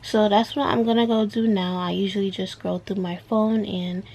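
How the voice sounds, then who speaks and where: A woman of nineteen from New York, vlogging her morning routine at home in a relaxed, rambling way, her voice raspy from just waking up. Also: mid-range voice, slight background noise. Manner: chatty, drawn-out, filler-heavy talk. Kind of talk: casual morning-routine vlog. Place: at home, bedroom and bathroom.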